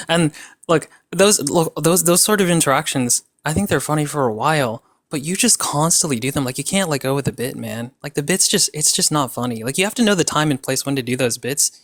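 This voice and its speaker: A man's voice: a little high, fairly quick, fairly bright tone, dry recording, clean studio sound. A man in an online debate, mocking and casual, trash-talking with lots of fillers and slang.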